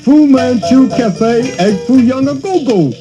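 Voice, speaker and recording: A man voicing a good-natured, slow-witted male caveman sidekick in a cartoon, hesitant and agreeable. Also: very high-pitched, lively intonation, lo-fi sound, over music, exaggerated voice. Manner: hesitant, agreeable, lots of uhs.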